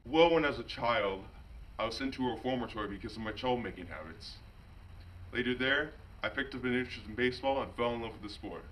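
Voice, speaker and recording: A man's voice: medium pitch, expressive, quick pace, a bit bright, lightly acted. A man playing a courteous famous baseball slugger in an amateur mock newsreel interview. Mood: courteous, matter-of-fact. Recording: distant mic with faint noise.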